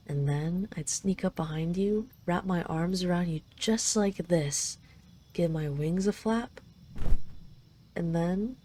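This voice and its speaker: Girl doing a dragon queen for roleplay audio, tender but possessive, teasing, lowish soft-edged mellow voice. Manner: teasing, intimate, coaxing. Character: possessive yet tender dragon queen doting on her captive. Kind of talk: intimate roleplay audio addressed to the listener.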